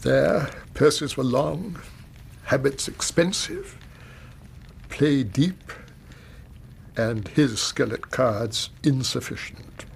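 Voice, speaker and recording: Anxious English banker, creaky-voiced. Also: male voice, mid-range voice, a little hiss or hum. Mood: regretful. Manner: formal, halting, agitated storytelling. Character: anxious, grieving middle-aged widowed banker and doting father. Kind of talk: radio drama acting.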